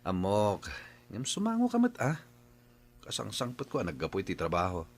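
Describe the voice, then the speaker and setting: Actor as a low-voiced easygoing Ilocano husband. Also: male voice, huge pitch swings, moderate pace, heavily acted. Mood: familiar. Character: easygoing working husband in a village family drama. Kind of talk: Ilocano radio drama dialogue.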